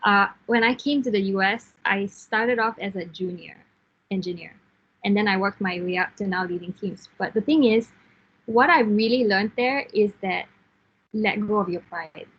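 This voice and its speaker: Husky-voiced woman from Singapore, lo-fi call. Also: mid-range voice, normal speed. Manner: frank, encouraging, many fillers and restarts, laughs easily. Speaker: guest speaker, software engineer team lead. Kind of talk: casual advice talk in an online class.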